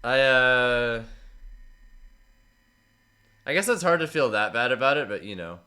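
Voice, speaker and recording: A man with a stuffy, congested voice from a cold, chatting casually with his stream viewers and reading out their messages in a relaxed, rambling way. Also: mid-range voice, measured pace. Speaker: streamer analyzing tournament matches.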